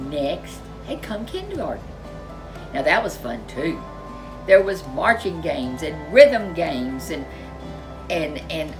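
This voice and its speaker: A woman storyteller reading a children's picture book aloud, expressively, with drawn-out emphasis and chatty asides. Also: lowish voice, rough recording. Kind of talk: expressive read-aloud narration for young children.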